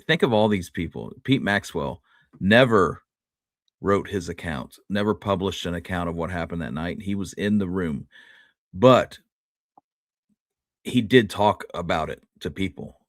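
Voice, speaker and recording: A man's voice: deep voice, dry recording, studio quality. A man co-hosting a history discussion in an easygoing, conversational way. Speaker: podcast co-host.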